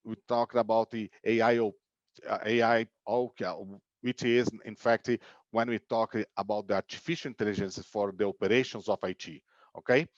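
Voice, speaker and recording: A man's voice: on the low side, steady tone, normal speed, no room sound. A man in his fifties from Brazil with a Brazilian Portuguese accent, giving an online business keynote with many fillers and "okay?" checks. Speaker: keynote speaker. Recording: dull lo-fi online call audio.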